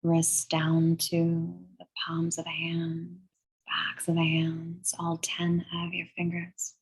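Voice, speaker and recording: A woman leading a guided meditation in a soothing, measured, breathy way, leaving long pauses between phrases. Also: on the low side, completely flat delivery, low-quality recording. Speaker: guided meditation and yoga teacher.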